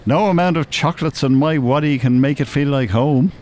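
A man's voice: on the high side, fairly quick, a little mellow, slight background noise, lightly acted. An actor playing an older Irish man, droll and wordy, with mock-pious teasing in a naturalistic radio drama. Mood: resigned.

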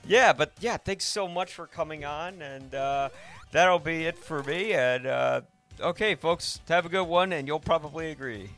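A man hosting a podcast, casually discussing films in a rambling, halting way that often interrupts itself. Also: fairly high pitch, fairly bright tone, faint music behind. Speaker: podcast host.

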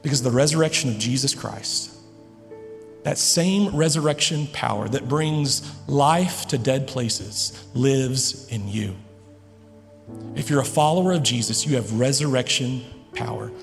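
A man preaching a sermon to his congregation, earnest and emphatic, with dramatic pauses. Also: medium pitch, vocal fry, in a large hall, faint music behind. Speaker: pastor. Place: large church hall.

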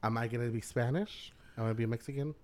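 A man's voice: medium pitch, fast, a little mellow. A man co-hosting a comedic chat, teasing and laughing often, his voice a little worn. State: losing his voice. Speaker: co-host.

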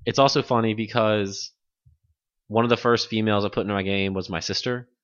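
A man's voice: fairly low pitch, fairly even intonation, brisk, a bit bright, no echo, muffled recording. A man chatting casually about video games as a podcast host, rambling and often given to laughter.

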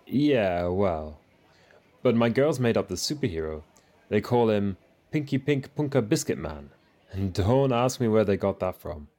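A man's voice: on the low side, big pitch swings, a little slow, warm tone. An actor playing a dutiful, overworked migrant father, speaking plainly and a little wearily in a radio play. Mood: fond, musing. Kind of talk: radio play monologue and dialogue.

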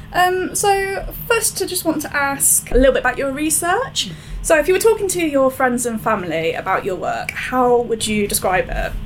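A woman podcast interviewer with a gravelly, fry-heavy voice reads a scripted profile and asks friendly questions. Her delivery is clear and upbeat. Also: mid-range voice. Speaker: interviewer and narrator.

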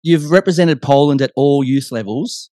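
A man's voice: mid-range voice, moderate pace, dark tone, dry recording, studio quality. A man hosting a casual sports chat, putting questions to his guest as interviewer. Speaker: interview host.

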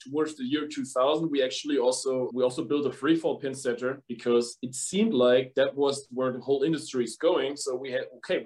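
A man's voice: medium pitch, darkish tone, rough recording. A young man from Germany with a German accent, a guest explaining his family business at length in a casual interview, with fillers and restarts. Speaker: guest, bowling equipment manufacturer.

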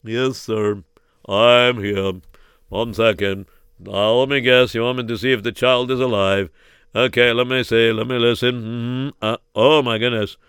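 A man's voice: mid-range voice, fairly even intonation, a little slow, a bit bright, clean tone, no room sound, studio quality. A man voicing a fussy court doctor who fumbles his words and fawns over his master. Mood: fawning. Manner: hesitant, fawning.

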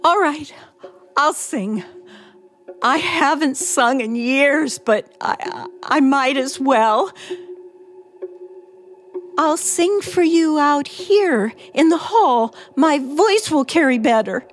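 Music plays behind a high, slow-speaking woman, frightened and coming unhinged. She is halting and dramatic, circling back over her phrases as in a suspense radio play. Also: lively intonation. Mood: guarded, placating. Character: frightened, unhinged woman, now giddy and triumphant. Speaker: actor.

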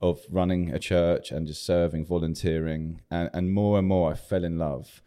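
Man from south england, low voice, mellow dark tone, relaxed joking storyteller. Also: moderate pace, clear, resonant tone. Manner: easygoing, jokey storyteller. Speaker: church leader. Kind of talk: interview conversation.

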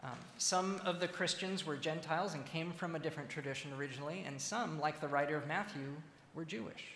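A man preaching a sermon as a church minister, speaking in measured, deliberate phrases with pauses. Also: fairly high pitch, fairly bright tone. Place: large reverberant church interior. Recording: echoey, reverberant hall with faint noise.

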